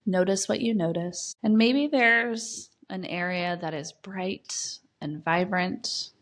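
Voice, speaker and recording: A woman leading a guided meditation, giving gentle, soft instructions with long pauses between phrases. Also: fairly low pitch.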